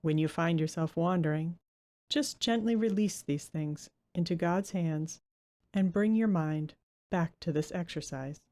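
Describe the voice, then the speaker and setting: Lady doing a guided body scan, really mellow slightly low voice, slow gentle phrases w long gaps. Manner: gentle, unhurried instruction with long pauses. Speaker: guided meditation leader.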